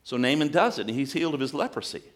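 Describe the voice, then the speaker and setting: Preacher with a higher voice. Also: male voice, animated, very fast. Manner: questions the congregation, builds in intensity. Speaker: pastor. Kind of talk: sermon.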